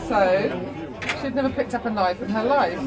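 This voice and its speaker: A woman's voice: medium pitch, moderate pace. A middle-aged woman telling family stories casually, acting out bits of dialogue and swearing freely. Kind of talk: casual animated storytelling. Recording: busy public room chatter.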